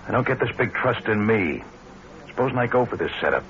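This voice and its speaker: An actor with an American accent playing a wary small-time blackmailer in a vintage radio crime drama, talking tough and terse. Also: male voice, lowish voice, lively intonation, a little fast, a bit bright. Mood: grudgingly interested. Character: suspicious small-time male crook and blackmailer. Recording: muffled, noisy old radio recording.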